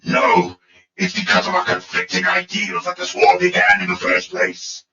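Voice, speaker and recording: A man's voice: high voice, sing-song, normal speed, creaky, sharp tone, pitched above the performer's own voice, heavily acted. A man voicing an evil, bitter robot warlord in an audio drama, with a commanding, brooding, theatrical delivery. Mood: furious. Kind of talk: fan audio drama acting. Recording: echoey, muffled, distant from the mic.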